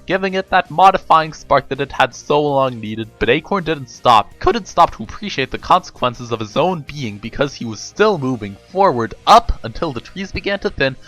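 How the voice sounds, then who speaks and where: A man giving a dramatic reading of a story, with emphatic phrasing and deliberate pauses. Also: fairly high pitch, very animated, fairly bright tone, faint music behind. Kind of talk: dramatic audiobook-style narration with pictures.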